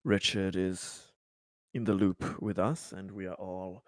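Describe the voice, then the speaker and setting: A man's voice: low voice, fairly even intonation, slow, no echo, studio quality. A man improvising in-character dialogue in a tabletop roleplaying game, speaking hesitantly in polite, formal phrases.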